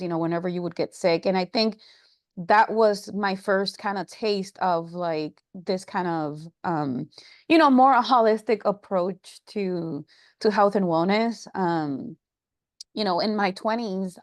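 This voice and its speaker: A woman's voice: medium pitch, dry recording, clean studio sound. A woman from Puerto Rico, a guest health coach, talking casually with many fillers. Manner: chatty, many fillers like "you know" and "um". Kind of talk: casual interview.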